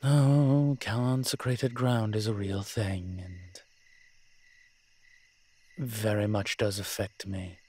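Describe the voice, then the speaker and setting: A man playing a proud, flirtatious incubus demon professor in an intimate audio roleplay, husky and teasing, with hesitant, drawn-out pauses. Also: lowish voice, fairly even intonation, darkish tone. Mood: hesitant, uneasy.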